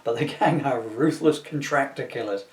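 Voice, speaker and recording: A man's voice: mid-range voice, moderate pace. A middle-aged man chatting informally and reading from his own children's book, with jokey, rambling asides. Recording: roomy echo, distant microphone.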